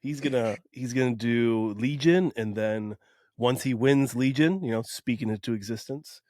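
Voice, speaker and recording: Joking Wisconsin-raised podcast host. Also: male voice, medium pitch, normal speed, darkish tone, dry recording, studio quality. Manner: joking, self-deprecating. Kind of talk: casual chat.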